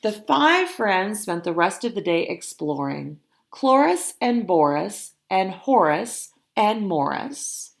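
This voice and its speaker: A woman who works as a teacher, reading a children's story aloud expressively and adding simple, deliberate explanations. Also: mid-range voice, big pitch swings, creaky.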